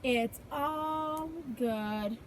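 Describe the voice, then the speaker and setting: A woman with a high voice reads a children's picture book at a very unhurried pace. Her delivery is expressive and sing-song, like a preschool teacher putting simple questions to little listeners. There is faint noise in the background. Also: poor audio.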